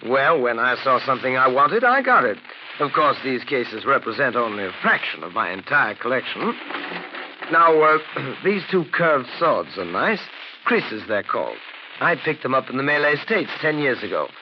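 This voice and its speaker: Noisy old radio recording, male actor as wealthy collector, genial, boastful, mid-range pitch, swinging intonation. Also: sing-song, moderate pace, lo-fi sound, natural voice. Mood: genial, proud. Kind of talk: 1940s radio drama acting.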